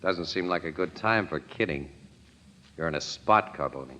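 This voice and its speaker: An American man playing a shrewd, steady crime photographer who is loyal to his friends, in old-time radio drama style. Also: deep voice, moderate pace. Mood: cool, probing.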